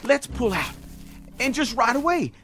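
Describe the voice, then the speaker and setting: A frightened young private. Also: male voice, extremely high pitch, sing-song, a little slow, a bit bright, exaggerated voice. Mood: pleading. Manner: jittery, whining and pleading. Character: frightened young Confederate private, nervous and pleading. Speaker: private soldier. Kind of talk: 1960s radio drama acting. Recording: old radio drama with faint music.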